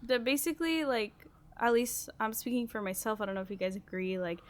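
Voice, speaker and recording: A woman's voice: fairly high pitch, fairly even intonation, brisk. Casual, hedging female podcast host. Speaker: podcast host putting questions to the group. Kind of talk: casual group podcast chat.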